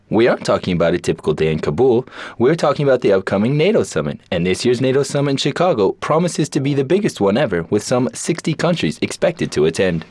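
Low-voiced male news presenter. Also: a little mellow, dry recording. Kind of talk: scripted news reading.

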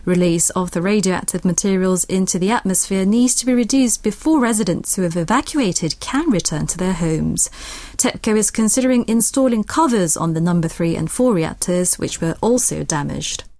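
Woman for scripted international radio news; average pitch, echo-free recording. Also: animated, moderate pace, mellow. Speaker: news reader.

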